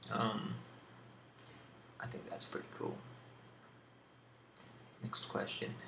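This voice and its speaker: A man talks through questions in a casual, rambling ASMR style. His voice stays fairly flat and is thick with vocal fry. The recording has a roomy echo and clear background noise. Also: fairly low pitch. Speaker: video creator answering tag questions.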